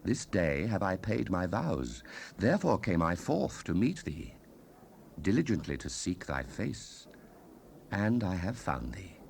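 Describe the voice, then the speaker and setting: Man voicing a hushed, coaxing seductress, low-pitched. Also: gravelly, exaggerated voice. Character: seductive, flattering, brazen married woman of loose morals. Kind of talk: dramatized Bible reading. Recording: 1970s cassette rip with hiss.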